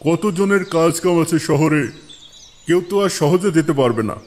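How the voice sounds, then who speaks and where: A man voicing a respected, calm older village elder in a moral cartoon, measured and conciliatory. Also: on the high side, lightly acted. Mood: gently reproving. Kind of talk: Islamic moral cartoon dialogue.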